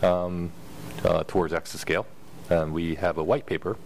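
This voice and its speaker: A man with an American accent, a professor speaking on a conference panel in a halting way, with many fillers and self-corrections and a dry humor. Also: low voice, steady tone, a little mellow, slight background noise, low-quality recording. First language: Japanese. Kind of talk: panel discussion introduction. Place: conference hall with audience. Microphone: handheld or table mic.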